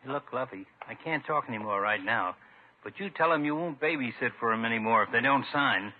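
An actor playing a cocky, folksy small-town barber with slangy, wisecracking talk, in 1950s radio comedy style. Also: male voice, medium pitch, brisk, natural voice. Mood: breezy. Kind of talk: 1950s radio sitcom acting. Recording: muffled narrow-band 1950s radio recording.

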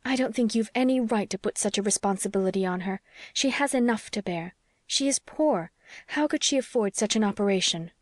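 A woman's voice: medium pitch, a little fast, no room sound, clean studio sound, lightly acted. A woman voicing a passionate, protective young wife who argues in an emphatic, pleading way, as in an audiobook. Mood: worried, insistent. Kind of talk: audiobook character dialogue.

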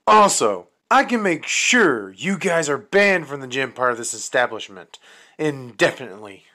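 A man's voice: mid-range voice, very animated, normal speed, sharp tone. A man playing a serious, protective young restaurant worker in an amateur audio drama, earnest and assertive. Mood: confident.

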